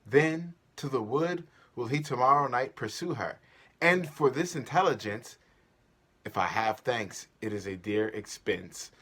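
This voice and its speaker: Man playing a pining maiden, slow, varied pitch. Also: expressive, bright, forceful tone, husky, full character voice. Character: lovelorn, pining young Athenian woman. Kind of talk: theatrical Shakespeare soliloquy.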